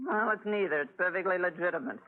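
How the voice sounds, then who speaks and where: A woman's voice: on the low side, fast talker, lightly acted. A woman playing a brash, nosy middle-aged bar owner in 1940s radio style, coaxing and theatrical. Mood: coaxing, scheming. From United States. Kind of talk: 1940s radio adventure acting. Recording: tinny 1940s radio recording.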